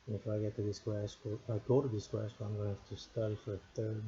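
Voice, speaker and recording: A man's voice: lowish voice, little pitch movement, fast talker, warm tone, lo-fi sound, slight background noise. A man of thirty-nine whose first language is Korean, giving a casual, thoughtful spoken reflection on a book, with many restarts and fillers. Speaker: book reviewer.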